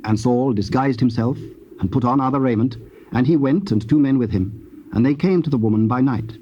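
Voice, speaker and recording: Mellow, solemn male scripture narrator. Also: mid-range voice. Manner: measured, formal. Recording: dull, faintly noisy old cassette.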